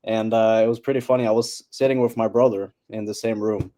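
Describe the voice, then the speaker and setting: A man's voice: lowish voice, fairly even intonation, fairly quick, warm tone. A teenage boy from Norway, chatting as an interview guest and hesitating with many fillers. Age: teens. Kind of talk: casual interview chat.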